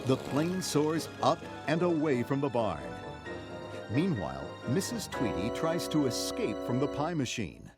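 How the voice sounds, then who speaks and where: A man narrating a children's read-along story in a dramatic, measured storytelling manner. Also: medium pitch, very animated. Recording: music behind the narration.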